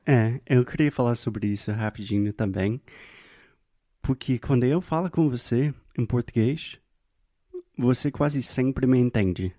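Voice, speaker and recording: A man's voice: medium pitch, measured pace, dark tone, no echo, clean studio sound. A man from the United States, a native English speaker with an American accent, co-hosting a podcast and speaking in an earnest, reflective way. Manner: earnest and reflective, thinks aloud. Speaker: podcast co-host. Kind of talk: casual conversation.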